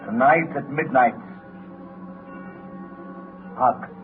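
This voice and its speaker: An actor playing an aged, fading spirit with a grave, portentous manner in 1930s radio drama. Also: male voice, medium pitch, very slow. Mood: solemn farewell. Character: aged, fading, solemn male Christmas spirit. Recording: tinny 1930s radio broadcast with music.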